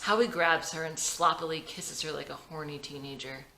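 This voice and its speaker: A woman's voice: sits low, steady tone, normal speed, creaky, sharp tone, a little hiss or hum. A woman reading scene directions from a screenplay as scripted narration in a dramatic table read. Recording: roomy echo.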